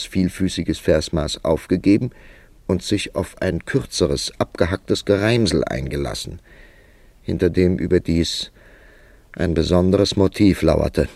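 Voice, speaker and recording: A world-weary writer telling his story in a radio play. His voice is very low and gravelly, and he takes his time with a dry, ironic, literary delivery, recorded close and dry. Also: a little mellow, no echo, exaggerated voice. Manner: measured, wry, literary storytelling. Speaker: narrator. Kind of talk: German literary radio drama narration.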